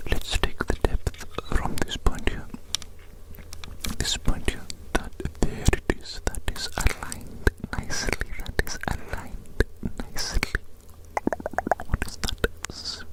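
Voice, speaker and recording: ASMR roleplay performer: fully whispered, breathy delivery, fussy and deliberate, with murmured repetitions. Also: poor audio. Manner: fussy, deliberate, repeats phrases.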